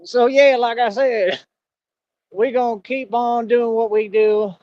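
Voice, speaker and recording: A Texan man with a rather high voice talks in a loose, rambling stream monologue, dropping "you know what I mean" between thoughts. He records through cheap headphones, and the sound comes out dry and a bit dull, with no room around it. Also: fairly even intonation, takes it slowly, darkish tone, dry recording. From Texas. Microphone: cheap headphones with mic.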